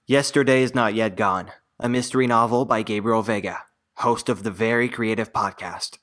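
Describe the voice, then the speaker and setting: A man announcing a scripted promo spot with a measured, punchy delivery. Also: fairly low pitch, fairly even intonation, a bit bright.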